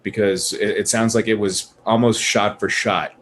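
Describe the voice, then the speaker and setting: A man's voice: lowish voice, steady tone, moderate pace. A man in a casual panel chat, talking emphatically and opinionatedly and swearing freely. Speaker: podcast panelist. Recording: clear background noise.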